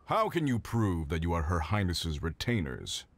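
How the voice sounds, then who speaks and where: An actor voicing a blustering, easily cowed castle guard in a video game. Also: male voice, low-pitched, sing-song, moderate pace, a little hiss or hum. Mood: challenging. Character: blustering castle guard who turns meek. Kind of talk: anime-style video game dialogue.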